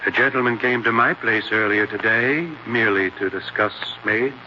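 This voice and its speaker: An actor playing an evasive, oily small-town mortician with an American accent, measured and unctuous, in a 1940s radio drama. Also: male voice, on the low side, close to an everyday voice. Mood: sly, insinuating. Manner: measured, unctuous, hesitant when pressed. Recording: dull, hissy 1940s radio recording.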